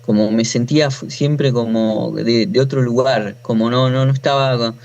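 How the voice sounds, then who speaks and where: A man's voice: medium pitch, fairly even intonation, normal speed, a little mellow, highs cut off. A man in his forties with a Buenos Aires accent, telling his own story informally as a guest, often breaking off and rephrasing. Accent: Argentine, from Buenos Aires. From Buenos Aires, Argentina. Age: 40s. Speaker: interview guest, natural farmer.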